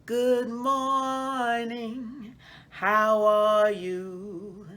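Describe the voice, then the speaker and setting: A woman voicing protective big brother trees in a children's storybook, with drawn-out, exclaiming delivery. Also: a little high, flat delivery, a bit bright, pitched above the performer's own voice. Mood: gentle, caring.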